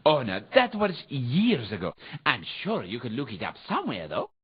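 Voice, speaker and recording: A woman's voice: extremely low pitch, wildly swooping pitch, normal speed, breathy edge, full character voice. A woman voicing a mild, absent-minded inventor in a video game, with a hesitant, fussy, scholarly manner. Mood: hesitant, musing. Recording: dull, lo-fi game audio.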